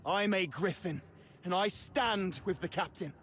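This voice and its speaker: A man's voice: high-pitched, unhurried, fairly bright tone, a little hiss or hum. An actor playing a loyal, concerned male soldier in a game. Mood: earnest, concerned. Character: loyal, concerned soldier standing by his leader. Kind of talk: game voice acting.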